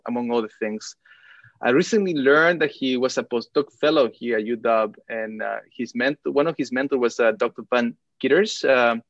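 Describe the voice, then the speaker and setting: A man in his thirties from Chile, giving an academic lecture with frequent hesitant filler pauses. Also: mid-range voice, dry recording, lo-fi sound. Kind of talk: academic presentation over video call. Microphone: video call.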